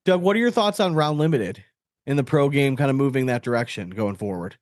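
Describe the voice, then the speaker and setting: A man's voice: medium pitch, expressive, a little fast, no room sound, studio quality. A man hosting a podcast in a casual, chatty style. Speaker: podcast host.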